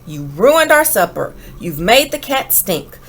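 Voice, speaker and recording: A woman voicing an exasperated, stern parent from a children's storybook, scolding a young child with loud, clipped commands. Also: mid-range voice, big pitch swings, a little slow, breathy edge, heavily acted. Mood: frustrated, scolding.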